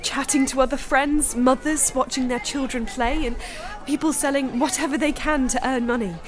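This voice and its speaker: A woman's voice: high voice, fairly even intonation, moderate pace, natural voice. An actress playing a sheltered, determined young lady in a radio drama, with formal, wistful period diction. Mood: wondering. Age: young adult. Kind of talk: radio drama acting with diary narration.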